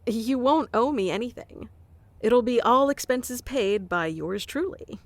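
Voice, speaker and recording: A woman doing a soft-spoken ASMR roleplay, teasing and commanding yet doting, close to the mic. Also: fairly high pitch, animated, measured pace, no echo. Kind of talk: ASMR roleplay, playing a bossy affectionate boss.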